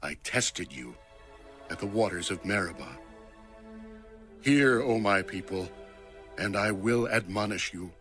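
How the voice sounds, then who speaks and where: A man's voice: deep voice, animated, a little slow, pauses often, a bit bright, faint music behind. A man giving a solemn, declamatory reading of scripture.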